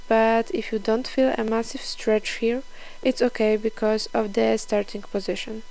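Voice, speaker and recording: Young woman w doing a fitness voiceover, kinda slow n careful, bit of vocal fry, sounds scripted. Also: fairly high pitch, darkish tone, poor audio. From Poland. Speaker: fitness vlogger. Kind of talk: scripted instructional voice-over.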